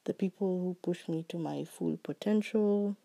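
A woman hosting her own podcast, talking slowly and earnestly about what she is grateful for, her voice still recovering from being sick. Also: fairly low pitch, creaky. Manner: slow, heartfelt and earnest, with soft trailing phrases. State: recovering voice after illness. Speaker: podcast host. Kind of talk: reflective solo podcast monologue.

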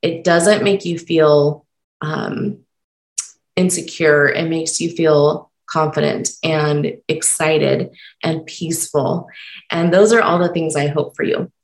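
A woman hosting a solo podcast, talking unscripted and encouraging while stumbling over her words, her voice croaky from being sick. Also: deep voice, steady tone, slow pace, reverberant. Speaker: podcast host.

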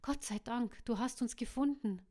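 A woman's voice: on the high side, moderate pace, mellow, voice pushed up, lightly acted. A woman voicing a frightened captive woman who whispers urgently and trembles.

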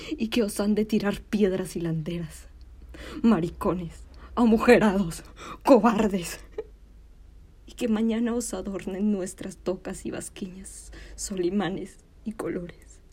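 A woman performing a classical Spanish verse monologue as a wronged, defiant young village woman, declaiming slowly and with anguish in a breathy, husky voice. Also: medium pitch, fairly even intonation, mellow. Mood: furious, defiant. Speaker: drama student actor.

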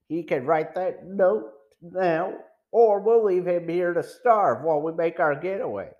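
A man's voice: sits high, a little slow, a little mellow, dull audio. A man voicing a sour, threatening smuggler chief in an audiobook, pressing and sneering. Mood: menacing. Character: sour, menacing male smuggler gang chief. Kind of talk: audiobook villain dialogue.

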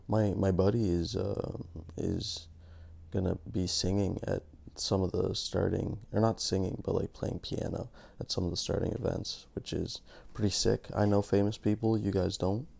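A man hosting his podcast in a casual, rambling monologue, gravelly from just waking up, joking at his own expense. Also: sits low, little pitch movement, moderate pace, a little mellow. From Canada. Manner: rambling, self-mocking, many restarts. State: just woke up. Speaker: podcast host.

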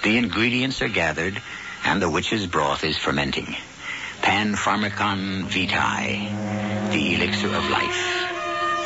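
A man hosting and narrating an old radio mystery drama in a theatrical, ominous manner. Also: deep voice. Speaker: host narrator. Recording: lo-fi old radio broadcast with music.

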